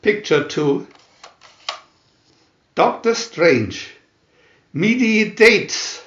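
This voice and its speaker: A man reading comic book captions as a dramatic narrator, announcer-like, with long pauses between phrases. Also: high-pitched, expressive, fairly bright tone, reverberant, lo-fi sound. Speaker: narrator reading View-Master booklet captions.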